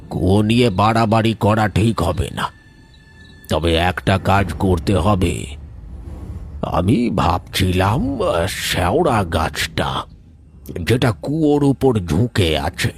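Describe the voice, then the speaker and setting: A man playing a stern older village elder in a cartoon horror story, questioning people with authority. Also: fairly low pitch. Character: stern village elder man presiding over a worried meeting.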